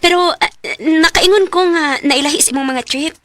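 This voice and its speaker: An actress with a Visayan Filipino accent playing a headstrong, rebellious young daughter in a radio drama. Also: female voice, high-pitched, normal speed, a bit bright, no echo. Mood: shy, friendly.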